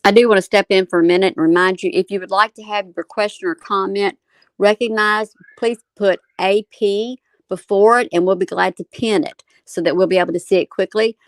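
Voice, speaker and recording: A woman's voice: medium pitch, steady tone, a little fast, no echo. A woman co-hosting a live discussion, giving opinionated commentary in an emphatic, questioning way. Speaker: co-host moderating a live discussion.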